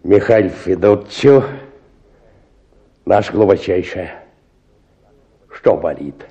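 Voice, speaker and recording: An actor playing a self-important rural medical orderly in a radio play, questioning and prescribing. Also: male voice, sits low, drawn-out words, warm tone, echoey room, dull audio, exaggerated voice. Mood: pompous.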